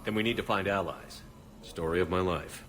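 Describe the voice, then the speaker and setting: An actor playing a resolute, no-nonsense military commander in a video game, speaking in a terse, determined way. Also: male voice, sits low, moderate pace, a bit bright, a little hiss or hum. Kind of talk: dramatic video game acting.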